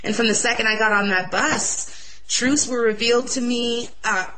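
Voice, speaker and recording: Loud, bright-voiced young woman. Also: fairly high pitch, normal speed, poor audio. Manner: earnest and excitable. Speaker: interviewee. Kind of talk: personal religious testimony.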